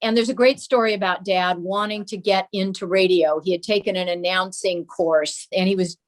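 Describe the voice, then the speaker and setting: A woman's voice: mid-range voice, steady tone, moderate pace, rough recording. An older woman with an American accent, speaking as a guest and reminiscing conversationally.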